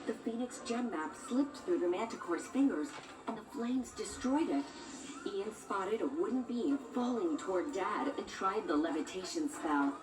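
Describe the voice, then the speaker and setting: A woman's voice: mid-range voice, animated, normal speed. A woman reading a scripted children's storybook as a read-along narrator, with music behind her. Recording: roomy, distant sound with music underneath.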